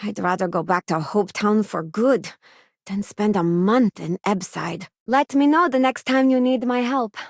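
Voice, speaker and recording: An actress voicing a sincere, principled young female space ranger and crew member in a video game, with a measured, plain-spoken delivery. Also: mid-range voice, vocal fry, no room sound, studio quality. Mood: earnest, thoughtful. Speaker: voice actress playing a game companion. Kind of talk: scripted video game voice lines.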